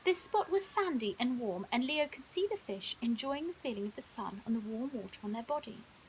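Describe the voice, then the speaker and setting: A woman reads a scripted children's bedtime story in an expressive, dramatic way. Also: fairly high pitch, a little fast, poor audio. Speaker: storyteller, primary school teacher. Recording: audible hiss.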